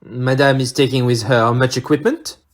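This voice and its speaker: A performer playing a courteous, shrewd Belgian male detective with a French-speaking Belgian accent, husky voice and formal, theatrical manner in an audio play. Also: mid-range voice, steady tone, moderate pace, lightly acted. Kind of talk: amateur audio play acting.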